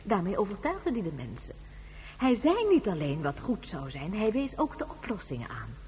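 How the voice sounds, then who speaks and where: Gentle ancient lady in a space radio play, reminiscing, big echo, some hum behind. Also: mid-range voice, wildly swooping pitch, normal speed. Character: very old woman, 197 years, reflective and gentle. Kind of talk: Dutch science fiction radio drama acting. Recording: hollow long reverb, humming background noise.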